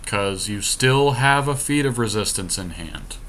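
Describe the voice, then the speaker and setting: A man's voice: lowish voice, expressive, a little slow, a bit bright, slight background noise. A man co-hosting a podcast, giving casual play-by-play of a card game with dry, teasing banter. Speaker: podcast co-host.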